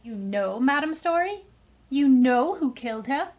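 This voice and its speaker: A bewildered, anxious society matron, acted by a woman in a radio mystery play. Her voice sits a little high, and her delivery is theatrical and unsettled. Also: expressive, measured pace, a little mellow. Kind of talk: amateur radio mystery acting.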